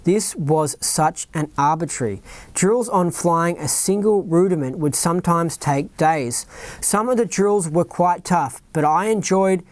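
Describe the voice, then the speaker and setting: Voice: mid-range voice, a little slow, no echo. A podcast host with an Australian accent, reading a written account aloud and breaking off into rambling asides, often asking "right?" and "you know what I mean?".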